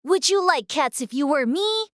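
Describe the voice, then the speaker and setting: A woman voicing a touchy, indignant little male mouse with a shrill, passionate delivery in a dramatized audiobook. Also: lively intonation, moderate pace, fairly bright tone, no room sound, clean studio sound. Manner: passionate, easily offended. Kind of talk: dramatized audiobook character dialogue.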